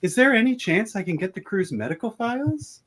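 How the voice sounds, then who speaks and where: A woman's voice: on the high side, sing-song, brisk, breathy edge. A woman playing an earnest female starship doctor in an amateur comedic radio play. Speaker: podcaster acting in script reenactment.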